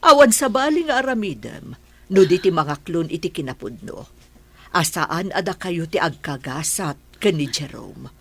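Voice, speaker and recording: Woman acting a low, husky older mother. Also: very animated, normal speed, a bit bright, full character voice. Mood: pleading, blunt. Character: older mother figure, consoling yet bluntly realistic. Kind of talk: audio drama acting.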